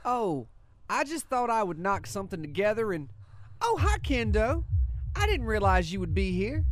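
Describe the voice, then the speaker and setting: A woman's voice: medium pitch, very animated, normal speed, slight background noise, lightly acted. A woman playing a naive, eager young ugly duckling in an audio drama, chatty and guileless. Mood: bewildered.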